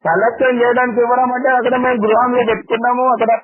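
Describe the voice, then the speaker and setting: A man's voice: very high-pitched, little pitch movement, fast, over a noisy crowd. A man with a Telangana Telugu accent, defensively arguing and demanding evidence in a heated phone call. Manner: defensive, argumentative, keeps demanding proof. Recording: phone line, leaked call audio.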